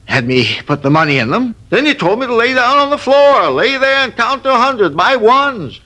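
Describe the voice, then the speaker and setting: A man's voice: very high voice, very animated, moderate pace, sharp tone, full character voice. An actor playing a fussy, long-winded male robbery witness who grumbles and wanders off topic, in 1950s radio drama style. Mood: recounting. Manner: rambling, grumbling, easily sidetracked.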